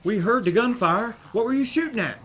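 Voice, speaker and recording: An actor playing a commanding, skeptical American army major in a war horror audio drama, brusque and barking orders. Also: male voice, very high-pitched, expressive, brisk. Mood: irritated disbelief. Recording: dull lo-fi audio, faint noise.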